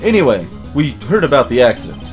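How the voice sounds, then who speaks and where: A man voicing an earnest, persistent young male defense lawyer, reading video game dialogue in a measured, insistent way. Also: medium pitch, big pitch swings, soft background music, close to an everyday voice. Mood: earnest, pressing.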